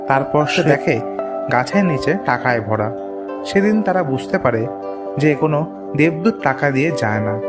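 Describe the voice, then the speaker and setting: A man reading scripted narration for a children's moral story cartoon. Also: on the high side. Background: music.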